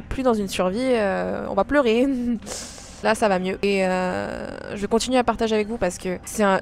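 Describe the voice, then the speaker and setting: A woman's voice: mid-range voice, slight background noise. A young woman talking in a confessional vlog, emotional and hesitant, often restarting her sentences. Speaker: vlogger. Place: small apartment, then a café.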